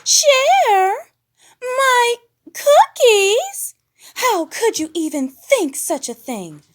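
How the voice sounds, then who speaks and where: A woman voicing a vain, dramatic girl pig from a children's cartoon storybook in a shrill, indignant, theatrical way. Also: big pitch swings, drawn-out words, heavily acted. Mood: huffy outrage.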